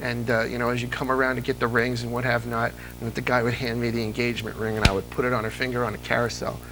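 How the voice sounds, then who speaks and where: A man's voice: medium pitch, level tone, fast talker, background noise. A middle-aged American man being interviewed as a radio fan, telling stories conversationally and repeating phrases for emphasis. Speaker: interview guest, old-time radio fan. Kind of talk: animated conversational storytelling.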